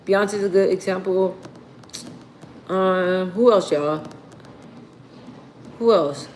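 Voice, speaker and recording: A woman with a deep, bassy voice, casually chatting with viewers on a livestream. She rambles, reads comments aloud and swears freely. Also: slow pace, a little mellow, a little hiss or hum, poor audio. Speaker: livestream host.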